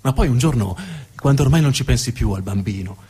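A man narrating a theatrical monologue as a stage actor, dramatic, with emphatic repetitions and deliberate pauses. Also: mid-range voice, expressive, dark tone, husky. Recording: music behind the voice.

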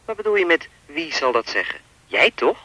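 A menacing anonymous caller in a radio drama. His voice is strikingly sharp and forward, recorded very dry, with animated intonation. He rambles in an insinuating, falsely polite way, chatty and lewd as he taunts. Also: on the high side, moderate pace, no room sound, full character voice. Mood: smug, pressing. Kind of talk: radio play acting.

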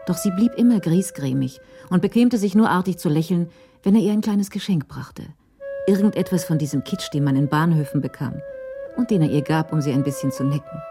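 A woman's voice: low voice, brisk, over music. A woman giving scripted narration in a radio crime drama.

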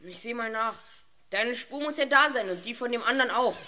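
A woman's voice: on the high side, a little fast, sharp tone. A woman playing a self-assured, analytical boy detective with precise, formal wording in a children's audio drama. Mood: investigating, intrigued.

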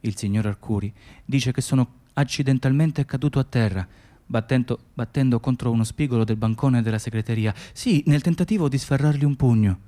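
An actor playing a weary, dutiful Moroccan immigrant hospital worker in a radio drama, speaking in a plain, insistent monologue. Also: male voice, medium pitch, brisk, dark tone, lightly acted. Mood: wounded, bitter. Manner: clipped inner monologue and plain testimony.

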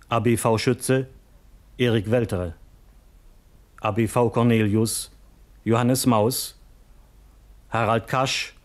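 A man reading cast and credits as a formal, deliberate 1970s radio announcer. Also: medium pitch, darkish tone.

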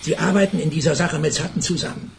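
An actor playing an impatient, pompous FBI department chief in a radio play, curt and hectoring. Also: male voice, a little high, fairly even intonation, fast talker, creaky, a little hiss or hum. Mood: bossy.

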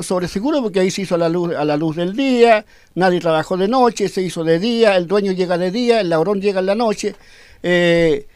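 A high-pitched man speaks firmly as a cacique under questioning, repeating words and stumbling as he builds his point. The recording is dry and close, with no room sound. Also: darkish tone, clear, resonant tone, no room sound. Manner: firm, repetitive, stumbling over words. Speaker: Mapuche cacique being interviewed. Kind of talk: radio interview answers.

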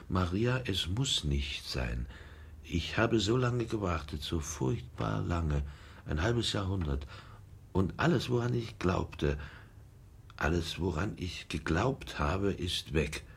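An actor playing a bitter, insecure middle-aged former general, a wounded son, in a naturalistic radio drama. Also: male voice, sits low, creaky, breathy edge, full character voice. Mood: subdued, intense.

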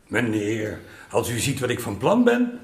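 A man acting a proud, bossy old-Amsterdam master painter in a radio drama, commanding and theatrical, smooth-talking with clients. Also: mid-range voice, huge pitch swings, measured pace. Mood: proud, persuasive. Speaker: actor. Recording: roomy radio play studio sound.

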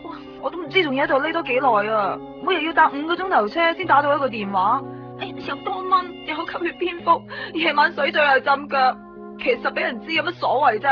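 A woman's voice: high voice, expressive, fairly quick. An actress playing a fed-up, nagging young girlfriend in a Cantonese radio drama from Hong Kong, with a whining, complaining delivery. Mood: weary, complaining. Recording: muffled 1990s radio drama over music.

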